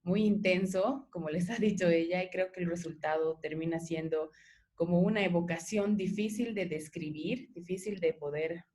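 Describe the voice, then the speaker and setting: A woman with a Bolivian Spanish accent and a notably low voice speaks as an interviewer. She is articulate and discursive, developing her thoughts reflectively and checking in with little tag questions. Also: darkish tone. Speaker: interviewer, host of a radio art programme.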